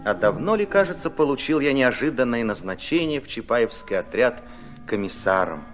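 A man's voice: medium pitch, very animated, moderate pace, soft background music, close to an everyday voice. An actor playing an army commissar who narrates his war memories reflectively in a radio drama. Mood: wistful, musing. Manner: reflective, storytelling.

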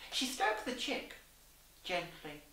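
A young woman giving a deliberate, theatrical dramatised reading of fan fiction, with emphatic character dialogue. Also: mid-range voice, huge pitch swings, sharp tone. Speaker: reader and host in costume. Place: small plain room indoors. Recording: roomy echo, distant camera microphone.

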